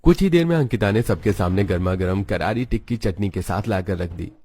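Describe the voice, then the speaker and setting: Guy narrating a kids cartoon story, low voice, scripted storybook read. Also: lively intonation, brisk, a little mellow, a little hiss or hum.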